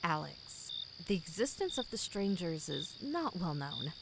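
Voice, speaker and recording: Actress doing cold smug lady boss villain, lowish voice, audio drama, crickets and night noise behind her. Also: very animated, unhurried, creaky, full character voice. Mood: smooth, businesslike. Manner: smug, formal, menacing. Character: cold, condescending villainous female company boss. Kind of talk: scripted audio drama acting.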